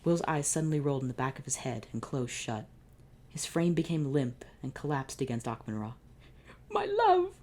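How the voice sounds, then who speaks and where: A woman reading fanfiction aloud in a deadpan narration, breaking off for exasperated sarcastic asides. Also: very deep voice, brisk. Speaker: fanfiction reader.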